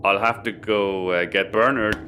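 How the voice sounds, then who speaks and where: A man's voice: on the low side, steady tone, normal speed, sharp tone, a little hiss or hum. A man playing a muddled, fretful crewman in a sci-fi audio comedy, with faint ambience behind him. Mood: befuddled, worried. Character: drunken bumbling Irish crewman tinkering with ship parts.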